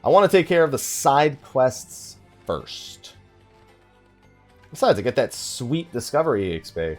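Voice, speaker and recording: A man giving casual let's play commentary, rambling and thinking out loud with mild exasperation. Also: medium pitch, big pitch swings, unhurried. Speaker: gaming video host. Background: game music.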